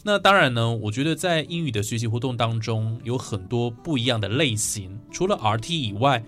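A man's voice: medium pitch, expressive, fairly quick, a bit bright, soft background music. A man with a Taiwanese Mandarin accent hosting a radio interview in a conversational, affirming manner. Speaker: radio host.

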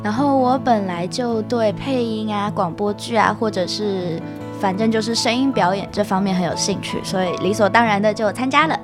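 A woman's voice: medium pitch, normal speed. A young woman, a student guest, answering casually in an interview. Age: young adult. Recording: music clearly behind the voice. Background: background music.

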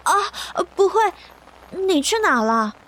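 An actress playing a flustered, eager young office employee with a crush in a romantic comedy radio drama, hesitant and full of little interjections. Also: female voice, high-pitched, big pitch swings, unhurried, a bit bright, a little hiss or hum, exaggerated voice. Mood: shy, pleased. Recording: roomy reverb, music behind.

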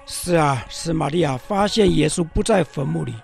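An actor playing an anxious, frightened disciple in a radio Bible drama, with a husky, creaky voice. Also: male voice, a little high, lively intonation, normal speed, faint music behind. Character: Peter, anxious, frightened male disciple in hiding.

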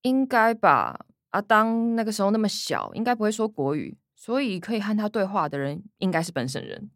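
A woman's voice: mid-range voice, big pitch swings, fairly quick, dry recording, clean studio sound. An actress playing a sarcastic, teasing young working woman, the older sister in a radio drama, with a Taiwanese Mandarin accent. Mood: matter-of-fact. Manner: sardonic, teasing.

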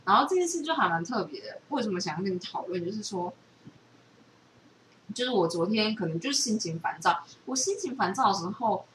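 A woman's voice: mid-range voice, very animated, brisk, distant mic, noisy background. A woman with a Taiwanese accent chatting casually as a co-host, dropping English words into her talk. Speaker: podcast co-host. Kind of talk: casual chat.

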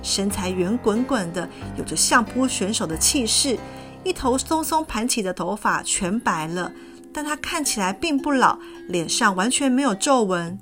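A woman with a Taiwanese Mandarin accent narrating a storybook for children in a gentle, even read-aloud manner. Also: mid-range voice, normal speed. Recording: faint music underneath.

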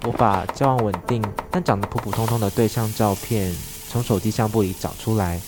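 Low-voiced man narrating over music. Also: fairly quick. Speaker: narrator of a personal essay. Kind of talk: scripted literary narration.

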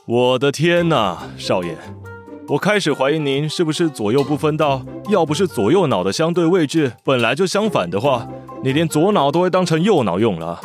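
一名男演员在广播剧里饰演忠心又暗恋少爷的年轻随从，爱用恭敬口吻吐槽，容易慌乱。. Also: male voice, a little high, very animated, normal speed, faint music behind. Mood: teasing, exasperated. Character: teasing young male attendant, devoted, secretly lovesick, exasperated. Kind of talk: Chinese audio drama acting.

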